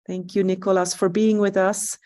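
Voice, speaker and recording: A woman moderates an online session and introduces the next presenter. She speaks in a mellow tone, pausing on frequent fillers over a video call. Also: mid-range voice, flat delivery. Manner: halting, with many fillers.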